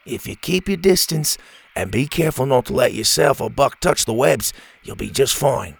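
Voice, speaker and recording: A man voicing an excitable adult male dinosaur expert who lectures eagerly about spiders. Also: mid-range voice, normal speed, gravelly, breathy edge. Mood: reassuring. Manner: enthusiastic, lecturing.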